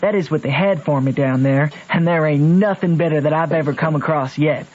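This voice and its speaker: A man's voice: fairly high pitch, a little fast, darkish tone, natural voice. An actor playing a country boy with a Southern accent, telling his adventures plainly and colloquially in a radio drama. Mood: reflective storytelling. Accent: American Southern. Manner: plain, colloquial rural speech. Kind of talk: storytelling narration in old radio drama. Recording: dull old radio broadcast.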